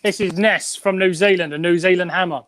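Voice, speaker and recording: A man's voice: high-pitched, fairly even intonation, a little fast, a bit bright, dry recording. A man co-hosting a fan live stream, making jokes and teasing his friends in casual chat. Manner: jokey, banters and teases. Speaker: co-host.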